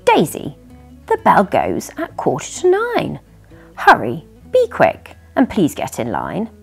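A woman's voice: low voice, wildly swooping pitch, slow, creaky, slightly rough, faint music behind, pitched below the performer's own voice, full character voice. A woman voicing a strict female octopus schoolteacher in a storybook, firm and instructing. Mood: urgent, scolding.

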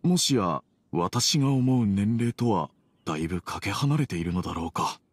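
A man's voice: lowish voice, lively intonation, moderate pace. A man playing a worried middle-aged tea merchant and father in an anime game, earnest and reserved. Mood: respectful.

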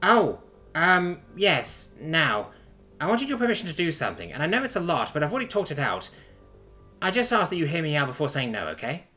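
A sweet, devoted alien princess in a sci-fi audio story, voiced by a man. The voice is high and chirpy with lively pitch, polite and nervously earnest, over faint music. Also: a little fast, exaggerated voice. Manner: polite, earnest, formal wording.

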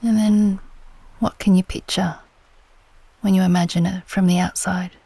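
Female meditation guide: dark, relaxed timbre, soothing unhurried delivery, soft breathy phrase endings, very dry recording. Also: lowish voice, dry recording. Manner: gentle, soothing, unhurried with long pauses.

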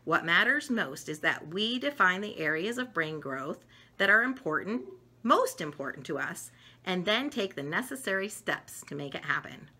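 Animated, bright-voiced woman reading aloud. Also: on the low side, moderate pace, breathy edge. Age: middle-aged. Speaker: storyteller reading picture books. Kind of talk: read-aloud of a children's educational book. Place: home dining room, indoors.

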